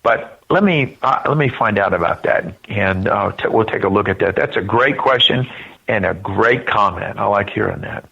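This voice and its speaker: A man's voice: lowish voice, normal speed, vocal fry, pushed and bright, breathy edge. A man giving informal interview answers as a spokesperson, earnest and wandering, often correcting himself, over a dull band-limited line. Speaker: event spokesperson, interview guest.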